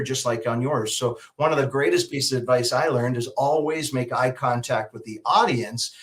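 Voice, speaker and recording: A middle-aged man from Alberta with a Canadian accent, giving advice as an interview guest in an emphatic, polished, deliberate way. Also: mid-range voice, a bit bright. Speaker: guest, public speaker and emcee. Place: video call.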